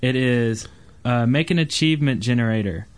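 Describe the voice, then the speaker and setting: A man's voice: mid-range voice, normal speed, clean tone. A man co-hosting a gaming podcast, talking casually with the others. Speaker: podcast co-host.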